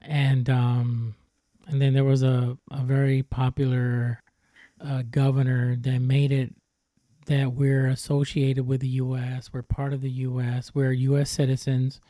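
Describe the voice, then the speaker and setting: A man's voice: mid-range voice, completely flat delivery, unhurried, dark tone, no echo. A man hosting a podcast, chatting casually and easygoingly with many fillers. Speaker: podcast host. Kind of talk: casual chat.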